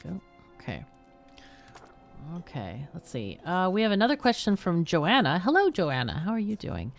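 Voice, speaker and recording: Lively chatty woman hosting a stream. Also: on the low side, normal speed, vocal fry, soft background music. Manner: chatty, playful, laughs often. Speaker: livestream host and gamer. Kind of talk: casual livestream chat with game commentary and questions to guests.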